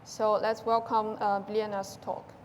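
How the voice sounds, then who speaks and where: Flat-voiced woman moderator, reverberant sound. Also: medium pitch, slight background noise. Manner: halting, with uhs. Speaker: webinar moderator. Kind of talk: introducing a speaker.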